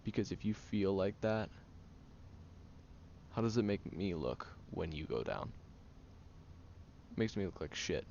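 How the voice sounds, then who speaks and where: Man acting a very low gravelly grumpy tsundere guy. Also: very animated, darkish tone, full character voice. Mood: earnest, scolding. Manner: halting, sighing, grumpy and flustered. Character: gruff tired tsundere young man, secretly caring. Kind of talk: intimate audio roleplay, acted monologue to the listener. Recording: dull lo-fi, clear background noise.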